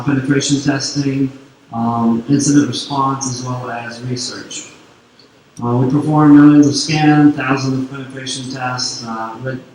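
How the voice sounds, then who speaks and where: Brief: French-Canadian male conference speaker, laid-back explaining, distant mic, booming hall. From Quebec, Canada. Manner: relaxed, filler-heavy explaining. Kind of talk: technical conference talk. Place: large conference hall on stage. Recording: echoey hall, distant mic, faint noise.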